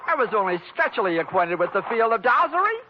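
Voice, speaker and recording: An actor playing a timid, fretful ex-magician with pompous, wordy diction in an old radio drama. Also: male voice, extremely high pitch, big pitch swings, quick pace. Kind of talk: 1940s radio acting. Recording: dull old radio recording, faint noise.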